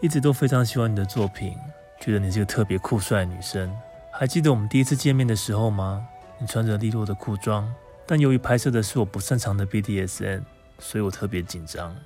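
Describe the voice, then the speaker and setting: Low-voiced man, earnest and romantic. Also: fast, warm tone, soft background music. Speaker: photographer's model leaving a recorded message. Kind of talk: prepared spoken message.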